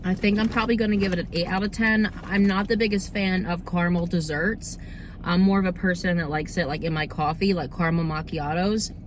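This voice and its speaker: A young woman talks to her viewers in an informal, chatty review style. Her voice sits a bit low and is slightly hoarse, as if getting over a cold. Faint background noise runs under the recording. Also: fairly even intonation, brisk. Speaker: host of a cookie review video series. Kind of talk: casual chat food review to camera.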